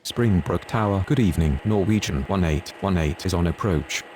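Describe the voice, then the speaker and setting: A man's voice: deep voice, moderate pace, darkish tone, a little hiss or hum, close to an everyday voice. A man playing a businesslike airport radio operator in an aviation game, speaking formal, procedural phraseology. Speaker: air traffic radio voice.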